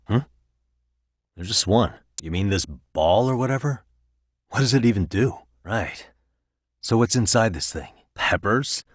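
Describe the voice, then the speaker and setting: A deep-voiced American man a streetwise, principled detective in a video game. He talks casually with a wry, determined edge, and the sound is dry and close. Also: fairly bright tone, slightly rough, no room sound, full character voice. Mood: focused, casual. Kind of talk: video game voice acting, naturalistic dialogue.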